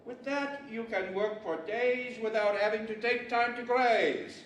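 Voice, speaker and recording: An echoey, distant stage recording of an older man as a pompous djinn who rules the deserts. He speaks high and slowly, scolding like an imperious boss in old-fashioned radio theater. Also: steady tone, fairly bright tone. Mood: stern, scolding. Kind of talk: 1940s-style radio theater acting. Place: large library event room, live stage. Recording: distant, echoey live hall with faint noise.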